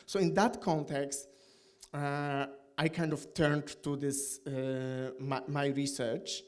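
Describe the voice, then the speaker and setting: A middle-aged man talks through an academic point in English. His voice sits a little high for a man, and he pauses often, restarting phrases and filling gaps with "uh" and "um". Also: little pitch movement, clear, resonant tone. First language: Polish. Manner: hesitant, many fillers and restarts. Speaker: academic panelist. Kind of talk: academic panel talk.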